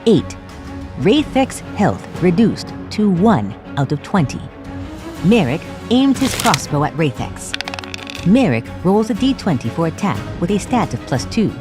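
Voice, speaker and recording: Animated woman narrating a fantasy game. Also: on the low side, a little slow. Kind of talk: dramatic scripted storytelling of tabletop game. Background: music.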